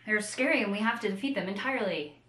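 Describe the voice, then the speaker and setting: Bright-voiced woman in a roomy echo. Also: medium pitch, fast talker. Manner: animated retelling with playful slangy asides. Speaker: co-host of a book discussion show. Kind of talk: casual book chat.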